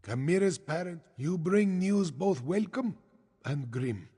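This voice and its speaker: An actor playing a calm, weary, wise cat-man advisor in a fantasy video game, with a husky voice and measured counseling manner. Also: fairly high pitch, exaggerated voice. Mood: grave. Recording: long hall reverb.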